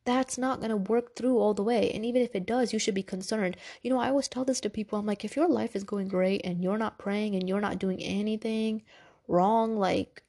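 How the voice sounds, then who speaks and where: A woman's voice: mid-range voice, steady tone, fairly quick, dark tone. A congested young woman hosting a podcast, giving casual, direct motivational talk with a soothing voice. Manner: chatty, direct, addresses listeners as girl and y'all. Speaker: podcast host.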